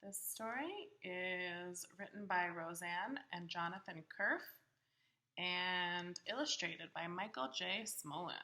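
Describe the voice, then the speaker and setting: Female children's storybook reader, very bright tone, unhurried, expressive, asking little listeners simple questions. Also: on the low side. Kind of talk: interactive picture-book read-aloud with questions to young children.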